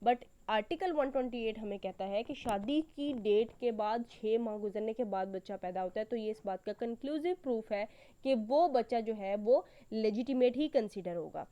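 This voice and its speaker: A woman's voice: a little high, dark tone. A woman with a Pakistani accent giving an explanatory law lecture in a didactic way, repeating key points and checking in as she goes. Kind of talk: explanatory lecture, Hindi-Urdu mixed with English.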